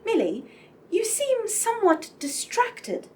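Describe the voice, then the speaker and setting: An inquisitive, genteel lady in a radio drama, acted by a woman. Her voice is high and highly varied in melody, and she speaks with courteous, well-read precision. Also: wildly swooping pitch, slow, very noisy, heavily acted. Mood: gently probing. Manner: polite, inquisitive. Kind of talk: radio play acting.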